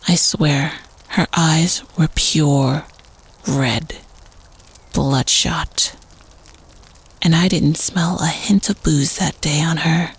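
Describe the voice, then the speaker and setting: A very husky teenage prep-schooler voiced by a woman spins a campfire horror tale for an audio roleplay. The delivery is slow and creaky, conspiratorial, slipping into hushed tones. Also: low-pitched, steady tone, heavily acted. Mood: eerie, suspenseful.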